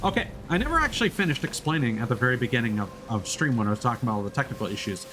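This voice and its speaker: A man's voice: medium pitch, sing-song, racing, noisy background. A man streaming a game, talking casually and explaining things at length with frequent fillers. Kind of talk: casual gameplay commentary.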